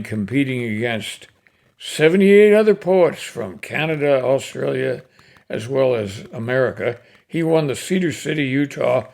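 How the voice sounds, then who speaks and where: An older man with a folksy, unhurried manner, hosting and reciting cowboy poetry in short, paused phrases. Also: mid-range voice, creaky, darkish tone. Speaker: host reading cowboy poetry. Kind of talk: casual introduction and expressive poem recitation.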